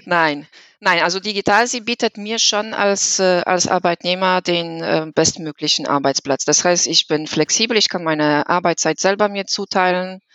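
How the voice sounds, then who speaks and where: A woman's voice: lowish voice, moderate pace, fairly bright tone, no room sound. A woman from Poland with a Polish accent, speaking as a guest on a podcast with lots of fillers and restarts. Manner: hesitant, many fillers and restarts. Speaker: employee guest. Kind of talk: podcast conversation. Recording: dull lo-fi sound.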